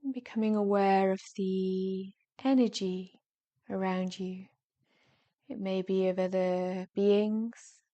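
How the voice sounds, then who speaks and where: Slow, mellow woman guiding relaxation. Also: medium pitch, fairly even intonation, muffled recording. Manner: soothing, gentle, drawn-out phrases with long pauses. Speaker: yoga nidra meditation guide. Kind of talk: guided relaxation narration.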